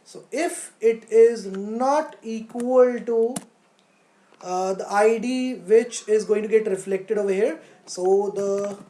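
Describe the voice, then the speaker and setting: Mellow woman teaching, roomy sound. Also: medium pitch. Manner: instructional, poses questions then answers them, checks with "right?". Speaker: coding teacher. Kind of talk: live online coding lesson, step-by-step explanation.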